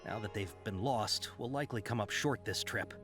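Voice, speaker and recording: An actor playing a flustered caravan merchant in a video game, speaking hesitantly and dramatically. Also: male voice, on the low side, normal speed, a bit bright, lightly acted. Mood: worried, apologetic. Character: flustered male caravan merchant, eager to please. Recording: music behind the voice.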